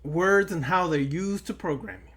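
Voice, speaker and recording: A man's voice: a little high, animated, normal speed, a bit bright. A man giving a spiritual lecture straight to his listeners, emphatic and repeating phrases for weight. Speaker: occult lecturer.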